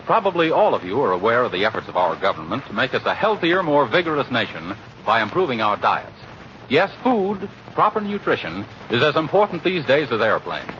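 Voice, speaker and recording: A dull old radio recording with faint noise carries a man announcing in 1940s style. His delivery is polished and emphatic, with a very lively, wide-ranging pitch. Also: a little fast. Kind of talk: 1940s radio announcing, scripted sponsor message and narration.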